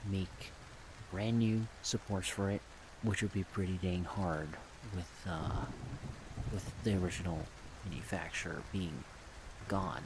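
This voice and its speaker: A man with a low voice talks in a soft-spoken ASMR ramble. He goes slowly and haltingly, thinking aloud in short phrases. Steady rain-like noise runs clearly behind him. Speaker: ASMR video creator.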